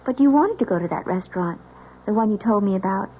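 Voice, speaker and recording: An actress playing an anxious young newlywed wife in an old-time radio mystery, halting and pleading, repeating herself. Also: female voice, mid-range voice, expressive, natural voice. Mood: uneasy, evasive. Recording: dull old radio recording, faint music.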